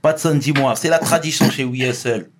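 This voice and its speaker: A man's voice: mid-range voice, a little fast. Attentive male interview host. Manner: attentive, encouraging, many small acknowledgements. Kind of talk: conversational interview.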